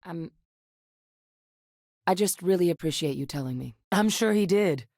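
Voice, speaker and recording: Low-voiced, principled woman soldier-scientist. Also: lively intonation, measured pace, a little mellow, no room sound, clean studio sound. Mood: emotional, sincere. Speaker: video game voice actress. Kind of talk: acted video game dialogue.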